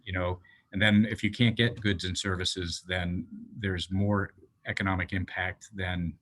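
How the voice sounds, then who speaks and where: Deep-voiced husky male host, hesitant, conversational. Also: level tone, a little slow, a bit bright. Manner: hesitant, repeats words, frequent "right?". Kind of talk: conversational interview.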